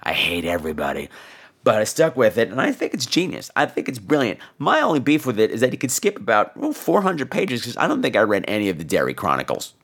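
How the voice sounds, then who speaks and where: Sarcastic, wildly animated man with gravelly edge. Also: lowish voice, quick pace. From New York. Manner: sarcastic, profane, mock-offended. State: ill with flu and laryngitis, on cold medicine. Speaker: podcast host. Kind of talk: casual chat, listener feedback segment.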